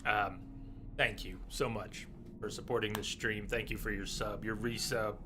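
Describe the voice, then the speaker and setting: A hoarse man with a sore, scratchy throat, chatting casually through a live game stream and clearing his throat often. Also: lowish voice, steady tone, a little slow. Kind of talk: casual live gameplay commentary.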